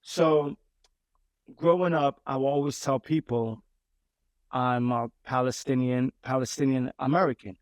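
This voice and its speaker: A man's voice: mid-range voice, fairly even intonation, a little slow, no echo. A man, a guest in a casual interview, telling his story with many repeats and restarts. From Brooklyn, New York. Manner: repeats words and restarts often.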